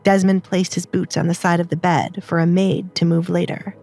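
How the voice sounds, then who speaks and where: A woman's voice: on the low side, level tone, moderate pace, darkish tone, soft background music. A woman narrator reading a scripted dramatized story.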